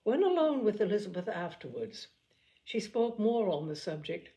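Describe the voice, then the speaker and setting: A woman narrating a classic novel as an audiobook reader, in a measured, expressive reading. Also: medium pitch.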